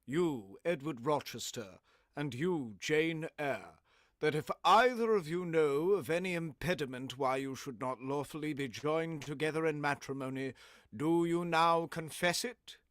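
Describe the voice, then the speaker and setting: Higher-voiced, formal clergyman, acted by a man. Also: a bit bright, no echo, studio quality. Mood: solemn. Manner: formal, measured. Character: solemn, formal clergyman conducting a wedding, easily flustered. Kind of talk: period radio drama acting.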